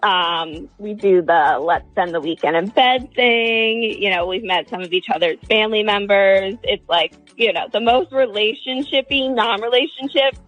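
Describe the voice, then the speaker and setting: A woman's voice: medium pitch, moderate pace, hardly any pauses, a bit bright, no room sound, dull audio, soft background music. A woman calling a radio show, talking casually and candidly in a hesitant, wordy way. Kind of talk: casual, candid relationship talk.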